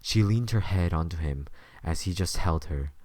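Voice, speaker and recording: A man's voice: sits low, normal speed, mellow, dry recording. A man narrating a scripted story as the narrator of an audio drama.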